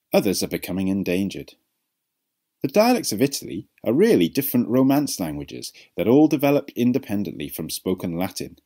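Low-voiced man narrating, lively intonation. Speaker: narrator of an educational language video. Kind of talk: scripted narration.

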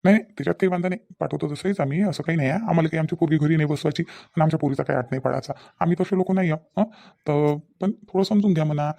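Man acting an earnest, fast-talking village father. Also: a little high, gravelly, warm tone. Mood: earnest, worried. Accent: rural Maharashtrian, Vidarbha. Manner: earnest, reasoning, repeats himself, questioning tags. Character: concerned middle-aged village father of a married daughter. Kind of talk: Marathi comedy cartoon dialogue.

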